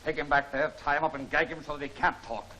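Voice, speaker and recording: An actor playing a resolute, authoritative crime-fighting leader in a 1940s film serial. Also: male voice, a little high, steady tone, pushed and bright. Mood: urgent. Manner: brisk, authoritative. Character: brisk, resolute crime-fighting hero leading his men. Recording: noisy 1940 film serial soundtrack.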